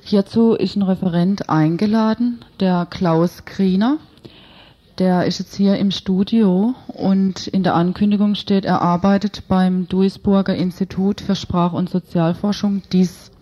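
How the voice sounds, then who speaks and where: A woman's voice: medium pitch, steady tone, unhurried, mellow. A woman interviewing informally in a radio studio, on a dull lo-fi recording.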